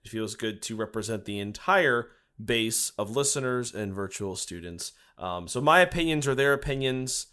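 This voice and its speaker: A man's voice: mid-range voice, animated, moderate pace, hardly any pauses, bright, forceful tone. A man co-hosting a comedy podcast as the student, goofy and wisecracking. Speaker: co-host as the class student.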